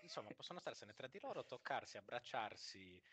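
A man's voice: mid-range voice, wildly swooping pitch, brisk, fairly bright tone, slightly rough. A man co-hosting a podcast in casual group chat, talking in a jokey, mock-serious way. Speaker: podcast co-host.

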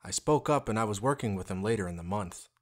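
A man's voice: on the low side, animated, fast talker, close to an everyday voice. Man playing a plain-spoken former restaurateur. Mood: plain recollection. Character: plain-spoken former restaurant owner recalling his job. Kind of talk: read interview quote, re-enacted.

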